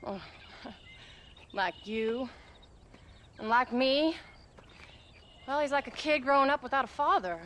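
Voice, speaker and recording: High-voiced tough frontier wife. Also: female voice, takes it slowly, long pauses, sharp tone, heavily acted. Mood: wary. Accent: American rural Western. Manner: blunt, sardonic. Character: tough, sharp-tongued frontier wife and mother. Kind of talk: video game cutscene acting. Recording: clear outdoor noise behind.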